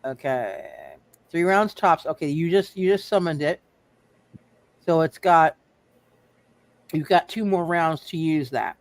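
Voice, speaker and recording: A man running a tabletop roleplay game, halting and often repeating his phrases. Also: a little high, no room sound. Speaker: game master.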